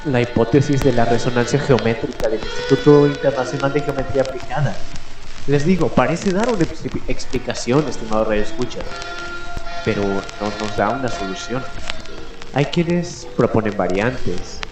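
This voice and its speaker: A man hosting a late-night mystery radio show, speaking in dramatic, deliberate phrases with pauses between them. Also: medium pitch. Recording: echoey voice over background music. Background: music.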